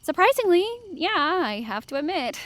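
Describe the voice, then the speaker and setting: A woman's voice: high voice, big pitch swings, moderate pace, no room sound. A woman playing a wary, reluctant young camp counselor in an audio drama.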